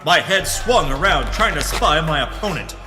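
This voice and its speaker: A man's voice: fairly high pitch, animated, close to an everyday voice. A man playing a pompous hard-boiled adventurer, narrating in the melodramatic style of a pulp radio serial. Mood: urgent, excited. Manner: melodramatic, grave, deliberate hard-boiled delivery. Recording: reverberant voice over background music.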